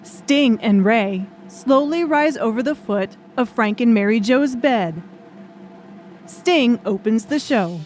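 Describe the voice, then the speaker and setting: A woman's voice: on the high side, animated, takes it slowly, a little mellow, faint music behind. A woman reading scripted audiobook narration as the storyteller.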